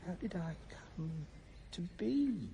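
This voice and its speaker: A man's voice: high voice, very animated, takes it slowly, gravelly, warm tone, a little hiss or hum, full character voice. An actor playing a weary, bitter middle-aged English shopkeeper who broods in disgust at his life, in a radio drama. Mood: despairing grumbling.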